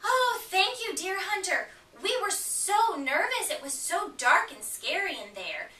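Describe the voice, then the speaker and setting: Woman voicing innocent young girl, sweet, wide-eyed, bright, from a distance. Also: high voice, wildly swooping pitch, slow pace, heavily acted. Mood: grateful. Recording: echoey room, mic at a distance.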